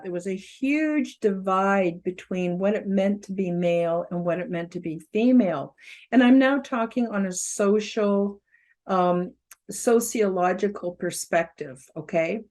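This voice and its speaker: A woman around seventy with a loud, carrying voice, giving a casual self-help talk with fillers and emphatic rises. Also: mid-range voice, measured pace. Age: about 70. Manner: loud voice that carries, outspoken. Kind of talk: casual spoken presentation to camera.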